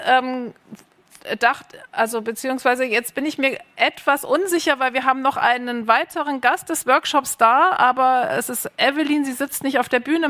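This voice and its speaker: High-voiced woman moderating a panel. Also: a bit bright. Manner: questioning, friendly, some fillers. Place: stage at a festival.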